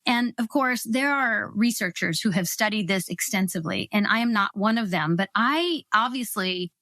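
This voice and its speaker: A middle-aged woman from Ohio, a guest on an interview show, explaining her ideas in a thoughtful, measured way. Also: medium pitch, lively intonation, bright, forceful tone, dry recording. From Ohio, USA. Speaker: guest, cross-cultural consultant. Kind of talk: interview answers.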